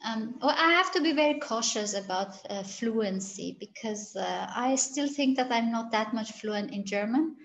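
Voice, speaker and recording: Halting woman on a dull call. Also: a little high, animated, gravelly. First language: Gilaki. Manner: halting, searching for words, many restarts. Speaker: interviewed researcher. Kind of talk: interview answers.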